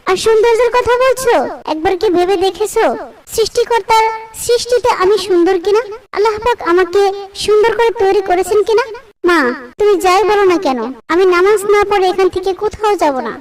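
Woman acting high-voiced devout young cartoon bride. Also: a little fast, distant mic, a crowd in the background. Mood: indignant.

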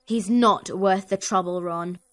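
A woman's voice: mid-range voice, a little slow, a bit bright, a little hiss or hum, natural voice. A woman voicing an earnest, know-it-all schoolgirl witch in a video game, precise and explanatory. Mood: matter-of-fact, bossy. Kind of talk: video game cutscene acting.

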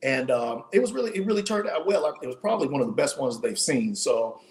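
A man in his forties, a podcast guest telling his story in an animated way with lots of restarts, his voice starting to wear out, speaking over a video call from home. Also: medium pitch, fast talker. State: losing his voice. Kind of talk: casual interview storytelling. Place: home room, indoors.

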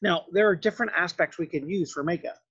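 A man's voice: high-pitched, fast talker, a bit bright, breathy edge, dull audio. A man giving a lecture over slides in an explanatory, loosely worded, conversational way. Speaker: film and art history lecturer.

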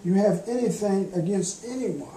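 An older man delivers a sermon in a bright, forceful voice. He places each phrase deliberately and with emphasis. The church room around him rings with echo. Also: sits high. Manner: deliberate, emphatic preaching cadence. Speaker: guest preacher, minister. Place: church sanctuary, at the pulpit.

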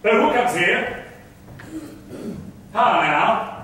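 An actor playing a scheming, self-satisfied rich merchant in a staged verse drama, declaiming theatrically with big operatic projection and savoring each phrase. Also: male voice, high voice, animated, extremely slow, pushed and bright, slight background noise, full character voice. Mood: gloating, calculating. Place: stage of an auditorium. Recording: echoey concert hall from far off.

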